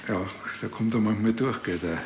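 A man's voice: lowish voice, normal speed, close to an everyday voice. An actor playing a chatty, awkward rural policeman with a Bavarian accent and a rambling, earnest manner. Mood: awkward, flirtatious. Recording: loud vehicle and ambience noise.

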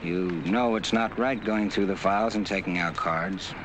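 An actor playing a bitter young doctor. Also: male voice, low-pitched, moderate pace, noisy background, lightly acted. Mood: affectionate. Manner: warm then cutting. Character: young male doctor, earnest then bitter. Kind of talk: 1940s film acting. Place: outdoor park bench at night on a film set. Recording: old film soundtrack with music.

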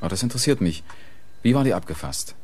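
Polite detective in radio crime drama, really deep voice, matter of fact. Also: male voice, animated, fast, darkish tone, husky. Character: polite, methodical male police investigator. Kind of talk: German radio crime play acting.

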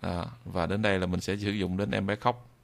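A man giving an unscripted software tutorial in a hesitant, halting way, often repeating phrases. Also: deep voice, level tone, creaky. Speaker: video tutorial presenter.

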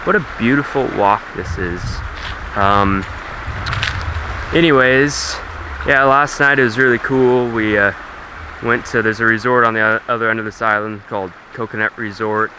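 Young male travel vlogger, lowish voice, rambling and relaxed, on-camera beach mic with waves rushing behind. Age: young adult. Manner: rambling, laid-back, easily excited. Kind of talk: casual vlog chat to camera. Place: outdoors on a tropical beach. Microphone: action camera held at arm's length. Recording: outdoor camera mic with clear surf noise. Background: waves and outdoor ambience.

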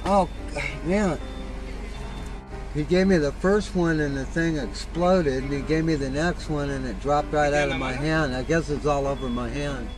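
A man's voice: fairly high pitch, normal speed, over music, rough recording. A middle-aged man doing prank banter from a car, exclaiming in feigned surprise. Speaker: drive-thru prankster. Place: inside a car at a drive-thru window.

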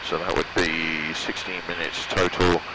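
A man's voice: sits low, level tone, a little slow. A young British man on a headset, muttering short flight checks to himself as a student pilot. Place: small open-sided microlight cockpit in flight. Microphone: aviation headset. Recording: loud aircraft engine noise, headset audio.

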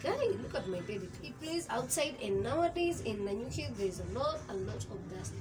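A woman's voice: fairly high pitch, expressive, normal speed, music in the background. A woman with a Kenyan accent, in her thirties, chatting casually as she explains each step of a laundry how-to in a small home laundry room, slipping in Swahili phrases. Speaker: vlogger.

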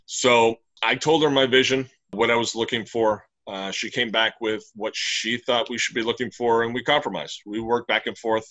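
A man's voice: mid-range voice, fairly even intonation, moderate pace, hardly any pauses, sharp tone, rough recording. A middle-aged man, a brewery owner, talking casually and in plain detail about his plans over a video call from a small room. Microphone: webcam on a video call.

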